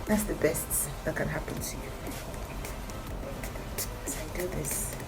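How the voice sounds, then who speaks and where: A woman with a croaky morning voice, chatting to camera in a skincare vlog and repeating her advice for emphasis. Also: low-pitched, fairly even intonation, slow pace, loud music behind the voice. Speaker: skincare vlogger. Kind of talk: casual talk to camera.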